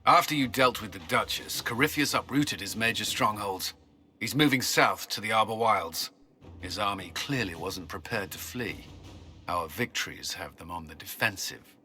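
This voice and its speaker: A confident fantasy-game commander. His voice is fairly low yet very sharp and forceful. He reports briskly, with firm authority. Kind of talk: video game dialogue acting.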